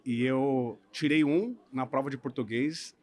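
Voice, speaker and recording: A man from Brazil, a lawyer in his thirties, telling the story of his career in a relaxed podcast conversation, often checking in with "né?". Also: medium pitch, unhurried. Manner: relaxed storytelling, hedging with frequent "né?". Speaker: guest lawyer. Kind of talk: casual podcast interview.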